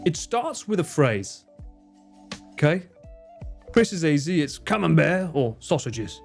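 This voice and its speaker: A man's voice: a little high, sing-song, unhurried, vocal fry, faint music behind. A man streaming live, chatting casually with viewers in a joking, theatrical way and swearing freely. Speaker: streamer and cameraman.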